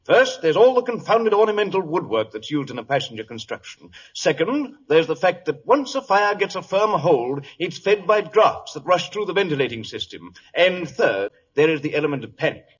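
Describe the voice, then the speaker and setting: A no-nonsense sea captain in an old radio drama, blustery and emphatic. The voice is high and somewhat raspy. The recording is dull and muffled. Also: male voice, big pitch swings, moderate pace, few pauses. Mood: grave, emphatic. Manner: emphatic, blunt, explanatory.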